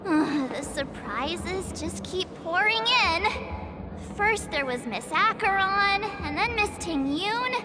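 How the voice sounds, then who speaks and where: Cheeky, bubbly young heroine. Very high voice, unhurried delivery, big game score behind. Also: female voice, full character voice. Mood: exasperated. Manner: perky, animated, playfully smug. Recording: loud game music behind.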